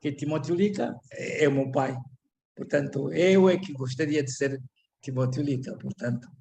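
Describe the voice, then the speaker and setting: An older Angolan man speaking Portuguese with an Angolan accent, giving a reflective, halting lecture full of restarts and repeated words. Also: fairly high pitch, creaky, mellow, slight background noise.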